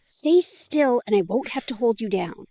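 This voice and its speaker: A woman's voice: on the high side, expressive, normal speed, mellow, no room sound. An actress playing a jittery young woman vampire, anxious and exclamatory in an audio drama. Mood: earnest.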